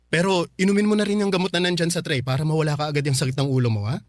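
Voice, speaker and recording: A man playing a calm, considerate young bachelor in a Filipino radio romance drama, speaking earnestly and conversationally. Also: fairly high pitch, very fast, background noise, close to an everyday voice.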